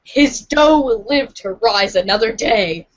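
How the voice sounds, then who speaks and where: A woman's voice: a little high, animated, a little slow, dull audio. A woman reading a comic aloud theatrically, with a playful, dramatic delivery. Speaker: podcast reader of a webcomic.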